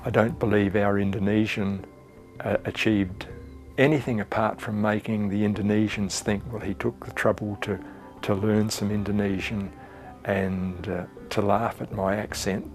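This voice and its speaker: Flat-voiced older man. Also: lowish voice, normal speed, soft background music. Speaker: church volunteer. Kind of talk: interview testimony.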